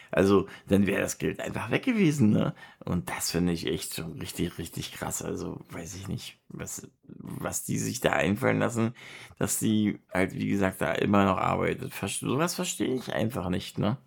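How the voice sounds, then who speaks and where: A man from Berlin chatting casually in colloquial German, rambling and halting as he searches for words and gives his opinions. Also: fairly low pitch, vocal fry. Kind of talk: casual monologue, personal chat.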